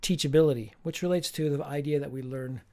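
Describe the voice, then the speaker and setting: A man, a professor, giving a slow, emphatic recorded lecture with playful asides. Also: a little high, a little mellow.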